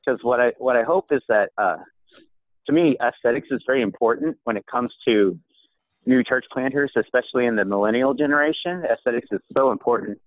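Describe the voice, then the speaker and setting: A man's voice: mid-range voice, a little fast, no room sound. Earnest man on a tinny phone line. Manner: earnest, emphatic. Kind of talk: casual video call meeting. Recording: tinny phone-like call audio.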